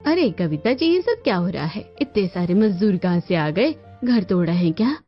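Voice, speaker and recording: A woman voicing a nosy, gossiping neighbour in an animated family drama, full of exclamations and questions. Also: mid-range voice, normal speed, muffled recording, soft background music. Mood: nosy, surprised. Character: nosy, gossiping neighbourhood women, surprised and curious.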